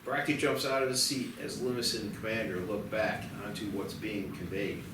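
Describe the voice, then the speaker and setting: A man's voice: lowish voice, moderate pace. A man narrating stage directions from a screenplay at a table read. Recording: echoey, distant mic, loud noise.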